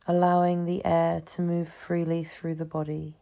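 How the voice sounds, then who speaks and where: A woman leading a guided meditation in a soothing, hushed manner, leaving long pauses between phrases. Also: on the low side, completely flat delivery, gravelly. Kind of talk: guided meditation, slow scripted narration.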